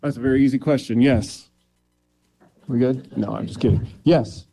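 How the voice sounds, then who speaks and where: A man's voice: mid-range voice, normal speed, gravelly, dark tone, breathy edge, soft background music. A man answering questions as a candidate for county clerk, earnest and plainspoken with some light joking.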